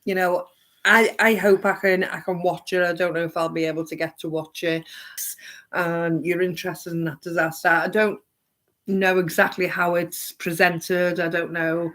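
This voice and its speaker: A woman's voice: fairly low pitch, level tone, moderate pace, fairly bright tone. A woman co-hosting a casual live stream, chatty, repeating herself and stumbling over words. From Lancashire, England. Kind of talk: casual live chat reading viewer comments.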